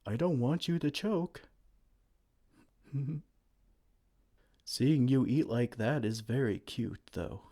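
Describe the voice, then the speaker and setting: Man voicing a gentle giant, soft-spoken ASMR roleplay, soothing, unhurried, lilting. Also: mid-range voice, lively intonation. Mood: fond. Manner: soft-spoken, soothing, tender pet names. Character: kind gentle male giant caring for a tiny human.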